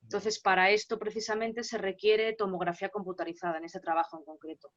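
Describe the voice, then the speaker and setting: A woman with a Spanish accent, a guest lecturer answering questions in an online seminar, explaining in a brisk, assured way. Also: medium pitch, little pitch movement. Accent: Spain Spanish. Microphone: video call. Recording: dull lo-fi call audio.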